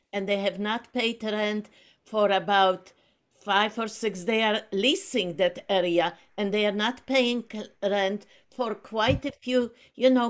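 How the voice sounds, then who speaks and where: Polish-accented woman guest, unhurried, stop-start delivery over a video call. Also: medium pitch. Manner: halting, many restarts and fillers. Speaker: book group guest speaker. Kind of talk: casual video call chat.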